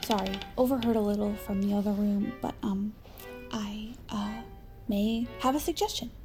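An actress playing a timid but eager young movie fan in a comedic audio drama, hesitant, rambling and earnest. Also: female voice, mid-range voice, moderate pace, darkish tone, faint music behind, lightly acted. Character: eager, naive, timid young woman obsessed with movie magazines.

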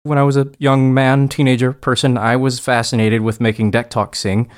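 A man with an average-pitched voice tells an old story in a loose, chatty way. He pokes fun at himself and laughs often as he goes. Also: little pitch movement, moderate pace. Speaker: podcast co-host. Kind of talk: casual podcast conversation.

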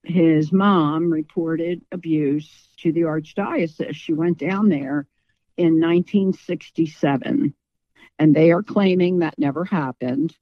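A woman's voice: low voice, slow, no echo. A woman speaking as an interview guest in a conversational, outspoken and emphatic way, with slightly lo-fi sound.

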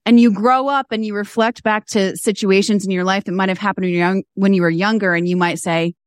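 A woman guest on a podcast interview, talking about business in an animated, emphatic way and building her points through repeated phrases. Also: medium pitch, brisk, clean tone, no room sound, clean studio sound. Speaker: guest, fashion stylist and business mentor.

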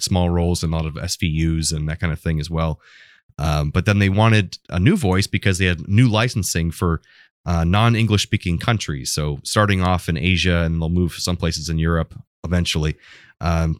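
Low-pitched American man chatting on a podcast. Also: no echo, clean studio sound. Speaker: podcast co-host, voice actor. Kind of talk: casual podcast chat.

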